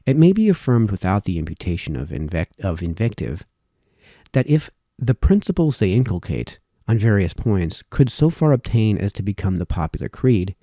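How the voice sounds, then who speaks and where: A man reading formal historical essays aloud, with measured, emphatic phrasing that falls away at sentence ends. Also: lowish voice, mellow, no room sound, studio quality.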